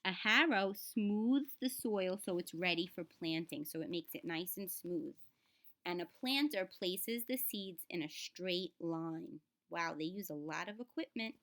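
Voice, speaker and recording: A woman's voice: fairly low pitch, measured pace, fairly bright tone. A woman reading a children's book aloud in a teaching way, speaking straight to the children and adding simple explanations. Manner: instructive, addresses children directly, sounds out words.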